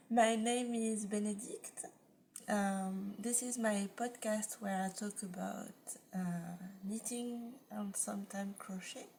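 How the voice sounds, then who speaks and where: Woman, forties, non-native English, slow, ums and restarts, home living room. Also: mid-range voice, steady tone, slight background noise, poor audio. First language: not English. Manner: hesitant, lots of ums, restarts and soft laughs. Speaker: knitting podcast host. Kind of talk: casual chat.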